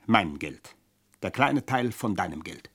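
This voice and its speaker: Weary, husky old manservant. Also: male voice, low voice, big pitch swings, measured pace, a bit bright, heavily acted. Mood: plaintive. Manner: formal, florid, plaintive. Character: weary, self-pitying, servile old male household servant. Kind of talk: German radio play acting.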